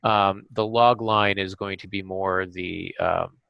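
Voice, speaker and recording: A man with a lowish voice hosts a podcast conversation. He summarizes and explains in an informal interview style, and the sound is close and dry. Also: fairly even intonation, slow pace, vocal fry, no room sound, lo-fi sound. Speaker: podcast host.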